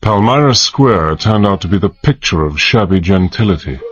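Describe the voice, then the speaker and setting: An actor playing a British gentleman adventurer, dry and weary, in a radio drama that he also narrates in the first person. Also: low voice, lively intonation, normal speed, slightly rough, muffled recording. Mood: reflective narration.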